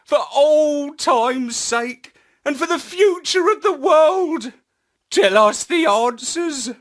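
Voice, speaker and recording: A man voicing an eager talking space puppy, emphatic and excitable, in a children's story. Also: extremely high pitch, slow pace, voice pushed up, heavily acted. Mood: pleading.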